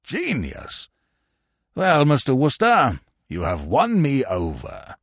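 A man voicing a haughty but sentimental old upper-class English lord, speaking in a slow, ponderous murmur. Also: medium pitch, huge pitch swings, husky, no room sound, studio quality, heavily acted. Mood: won over.

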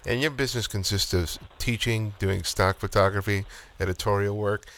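A male podcast host with a very low voice leads the interview. He strings several questions together in one long, winding turn. A faint hiss sits under his voice. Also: steady tone, moderate pace, fairly bright tone. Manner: long, rambling multi-part questions. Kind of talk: interviewing a guest.

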